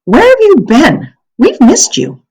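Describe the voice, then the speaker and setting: A woman's voice: sits high, wildly swooping pitch, unhurried, vocal fry, a little mellow, voice pushed up, full character voice. A woman reading a children's novel aloud, voicing an eager, indignant ten-year-old schoolgirl with an earnest, questioning way of talking. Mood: excited.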